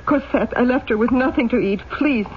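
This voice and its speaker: A woman's voice: a little high, fairly quick, lightly acted. An actress playing a poor young widowed mother, defiant and pleading, in an old-time radio drama. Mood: pleading, desperate. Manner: plaintive, indignant. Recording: dull, noisy old radio recording.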